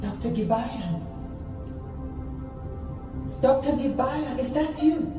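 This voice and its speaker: A woman's voice: high-pitched, over music. An echoing, distant, pleading female visitor. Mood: eerie, pleading. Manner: slow, searching, pleading. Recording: very echoey, distant from the mic.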